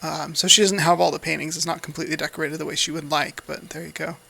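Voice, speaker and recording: A man's voice: a little high, flat delivery, fast talker, creaky, bright, forceful tone. An American man giving casual, off-the-cuff gameplay commentary in an easygoing, rambling way. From United States. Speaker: Let's Play host.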